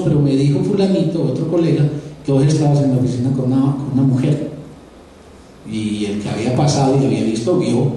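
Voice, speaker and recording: Paisa-accented male professor, mellow, rather high voice, lecturing live, heard from far off in an echoey auditorium. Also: slight background noise. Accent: Paisa (Antioquian Spanish). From Antioquia, Colombia. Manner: conversational, addresses audience directly, repeats words while thinking.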